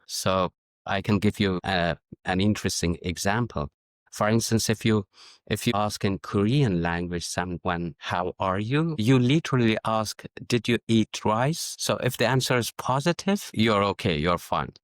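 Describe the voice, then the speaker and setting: A middle-aged man from Afghanistan whose first language is Farsi, answering interview questions in a measured, reflective way and often restarting his sentences. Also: fairly low pitch, expressive, no echo, clean studio sound. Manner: measured, searching for words with frequent restarts. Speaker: guest, diplomat and educator.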